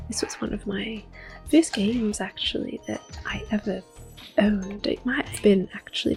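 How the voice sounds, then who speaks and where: A woman giving gentle, hushed ASMR commentary on a video game, slipping between soft speech and whispers. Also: mid-range voice, gravelly. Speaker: host playing a video game. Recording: soft game music behind. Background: quiet game music.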